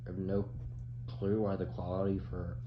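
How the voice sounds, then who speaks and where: Deep-voiced young man rambling in a roomy space. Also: little pitch movement. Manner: rambling, halting, swears casually. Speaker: commentator. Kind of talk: casual off-the-cuff opinion chat. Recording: roomy, dull, with clear background noise.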